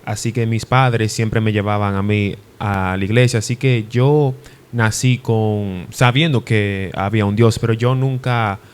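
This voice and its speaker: A man's voice: on the low side, steady tone, a little slow, smooth. A young man from the Dominican Republic, a guest preacher giving his testimony in an interview, earnest and hesitant with restarts. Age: young adult.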